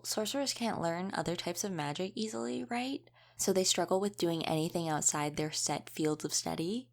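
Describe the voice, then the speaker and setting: A woman's voice: fairly low pitch, a little mellow, close to an everyday voice. A woman playing an easygoing young female wolf shifter in a casual audio roleplay, chatting and explaining things informally. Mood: chatty, informative.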